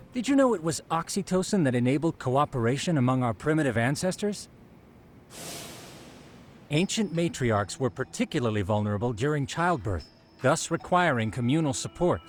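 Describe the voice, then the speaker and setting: Male actor as nerdy detective, video game, precise, didactic, animated intonation, background music. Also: mid-range voice, lightly acted. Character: nerdy, earnest young male detective lecturing on science. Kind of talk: video game voice acting, explanatory briefing. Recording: background music under the dialogue.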